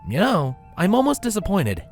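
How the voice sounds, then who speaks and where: A man's voice: high voice, huge pitch swings, normal speed, soft background music, pitched above the performer's own voice, heavily acted. A man voicing a wry, easygoing young male dragon sidekick with a dry, teasing manner in a storybook reading.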